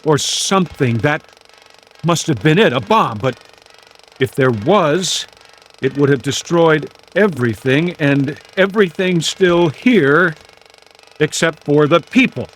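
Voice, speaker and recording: An actor playing a lonely, distressed Air Force man with no memory, rambling to himself haltingly and wryly in a radio drama. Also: on the high side, lively intonation, slight background noise. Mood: bewildered. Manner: rambling to himself, halting, wry joking turning agitated.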